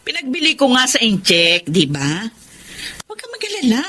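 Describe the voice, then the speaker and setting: Matinis at palabang nanay. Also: female voice, on the high side, huge pitch swings, moderate pace, pushed and bright, a little hiss or hum, full character voice. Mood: defiant, irritated. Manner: brusque, defiant, complaining. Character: brazen, irritable pregnant mother who sells her babies. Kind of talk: Tagalog radio drama acting.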